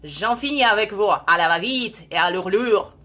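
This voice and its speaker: A woman, a native Russian speaker, voices a half-mad man who barks orders and shouts. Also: mid-range voice, lively intonation, moderate pace, natural voice. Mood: ranting. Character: wild ranting man, half-mad, commanding.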